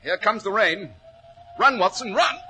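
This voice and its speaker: An actor playing a commanding, coolly confident detective in a 1940s radio drama, with crisp, formal, dryly ironic delivery. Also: male voice, high voice, lively intonation, a little slow, sharp tone, soft background music, heavily acted. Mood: urgent. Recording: old 1940s radio recording.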